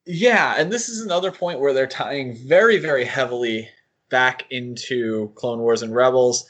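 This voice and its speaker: A man's voice: mid-range voice, moderate pace, a bit bright. Casual male podcast host.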